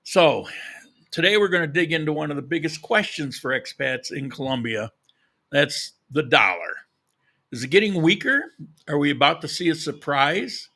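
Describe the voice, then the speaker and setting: A man's voice: a little high, animated, normal speed, vocal fry, bright, forceful tone. A man hosting a live podcast, talking informally and directly to his audience. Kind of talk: casual livestream talk to viewers.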